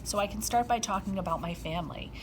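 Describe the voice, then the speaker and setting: A middle-aged woman teaching an art lesson, talking gently and patiently with plenty of ums. Her voice sits at an ordinary pitch. A clear background noise runs under her words. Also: fast, poor audio. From Rhode Island, USA. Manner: gentle, step-by-step explaining with frequent ums.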